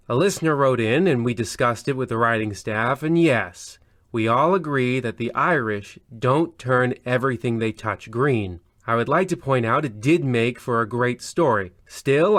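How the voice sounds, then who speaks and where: Man as pompous spooky-anthology narrator, petty and digressive; medium pitch, close dry studio sound. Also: fairly bright tone, no room sound, natural voice. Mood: defensive. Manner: pompous, rambling, indignant digressions. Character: pompous, petty horror-anthology radio host, easily sidetracked. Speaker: narrator and host. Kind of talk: parody old-time radio horror narration. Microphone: close studio microphone in recording booth.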